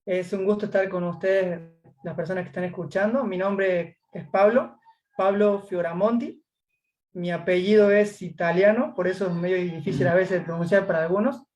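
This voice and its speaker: A man's voice: sits high, steady tone, moderate pace, darkish tone. A man from Córdoba with an Argentine accent, telling his story as a guest in a casual interview, hesitating with many fillers. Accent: Argentine Spanish.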